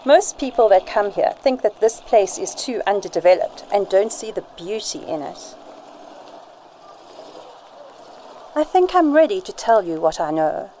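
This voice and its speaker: A woman's voice: mid-range voice, expressive, moderate pace, few pauses, warm tone, slight background noise, close to an everyday voice, rough recording. A woman acting a poised, flirtatious informant in an amateur radio drama, polite and coy. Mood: friendly, curious.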